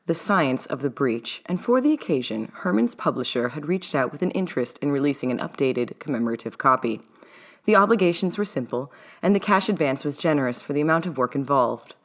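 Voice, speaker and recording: Low-voiced woman with an old-timey mid-Atlantic accent. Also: very animated, brisk. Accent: mid-Atlantic, old-timey English-leaning. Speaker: author reading own story. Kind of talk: audiobook-style narration of fan fiction.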